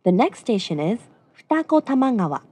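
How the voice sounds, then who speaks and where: A woman's voice: fairly high pitch, sing-song, a little slow, dark tone, husky. A woman delivering scripted train announcements with formal, courteous phrasing.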